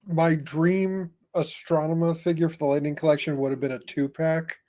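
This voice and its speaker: A man hosting a casual fan podcast, speaking informally and a little haltingly. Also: fairly high pitch, little pitch movement, dark tone. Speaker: podcast host.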